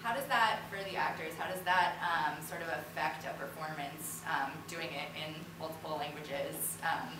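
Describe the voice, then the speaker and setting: A woman's voice: deep voice, steady tone, normal speed, few pauses. A woman casually moderating a panel discussion and putting questions to the speakers. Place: indoor room, public post-show discussion. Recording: very echoey, noisy room, far from mic.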